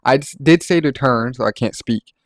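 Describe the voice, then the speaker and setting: A man's voice: mid-range voice, normal speed, no echo. Casual man, dry close-up sound. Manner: informal, rambling. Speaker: gaming content creator. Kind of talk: casual tutorial narration.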